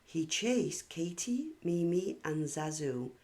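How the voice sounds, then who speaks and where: A woman's voice: low-pitched, lively intonation, takes it slowly, a little mellow. A woman hosting and narrating a children's storybook read-aloud.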